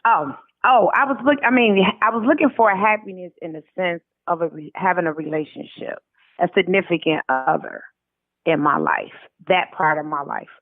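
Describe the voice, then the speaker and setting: A woman's voice: fairly low pitch, moderate pace, dry recording. American woman on a tinny phone line. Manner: animated retelling with quoted dialogue, colloquial. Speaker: interview guest, author. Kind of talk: casual conversational storytelling.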